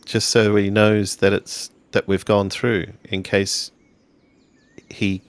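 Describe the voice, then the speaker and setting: A man with a lowish, gravelly voice plays a tabletop role-playing game. He improvises casually in and out of character, sounding weary and halting. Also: little pitch movement, no room sound.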